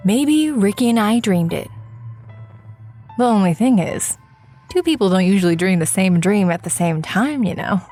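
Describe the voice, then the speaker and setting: A woman's voice: medium pitch, normal speed, warm tone, faint music behind, lightly acted. A woman playing a weary writer in an audio drama, musing and confiding in the listener with wry, self-mocking asides.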